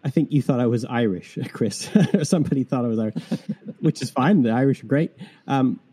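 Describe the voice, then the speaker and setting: A man from Canada, his accent softened by years in England, talks in an earnest, searching way as he hosts a podcast conversation. His voice is very dark and mellow and sits at a middling pitch. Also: brisk, husky. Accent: Canadian with English influence. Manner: earnest, reflective, asks pointed questions. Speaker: podcast host.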